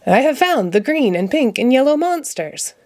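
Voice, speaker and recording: A woman voicing a pompous, excitable male puppet detective from a children's storybook, with grandiose announcements. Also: high voice, moderate pace, no echo, voice pushed up. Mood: triumphant.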